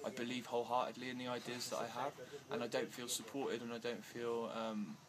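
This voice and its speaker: A man's voice: mid-range voice, level tone, brisk, a crowd in the background. A man answering interview questions with many fillers and restarts, growing agitated as he talks. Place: outdoors in India.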